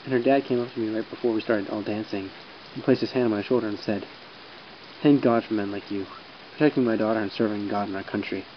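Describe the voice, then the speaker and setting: A man's voice: on the low side, quick pace, natural voice. A man playing an earnest, emotional young army medic, shaken and idealistic, in an audio drama. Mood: wistful. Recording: dull sound with faint rain hiss.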